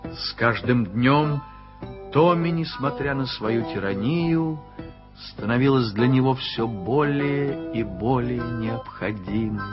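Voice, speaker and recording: A man narrating a 1980s radio play in a measured storytelling manner. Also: medium pitch, lightly acted. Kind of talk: scripted literary narration. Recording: lo-fi archival radio recording with music.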